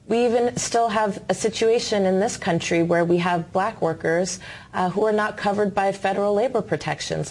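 A woman activist answering an interview question in an articulate, deliberate way. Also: fairly low pitch, gravelly, a little mellow.

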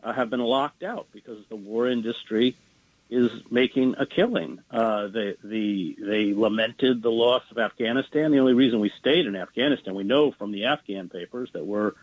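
A man speaking as a journalist guest in a political interview, earnest and halting, with many fillers and restarts. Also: mid-range voice, no room sound, dull audio.

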